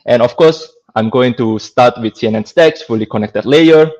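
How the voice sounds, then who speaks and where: A man with a Singaporean accent presents an informal technical lecture in a conversational way, often tagging his points with a checking "right?". His voice sits at an average pitch. The sound is slightly dull and lo-fi, like online call audio. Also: normal speed, a little mellow. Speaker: guest speaker, lecturer.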